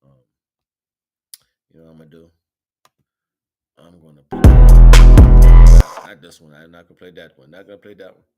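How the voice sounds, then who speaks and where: A man's voice: sits low, normal speed, pauses often, over loud music. A man chatting casually as a livestream host, rambling in slang and repeating catchphrases.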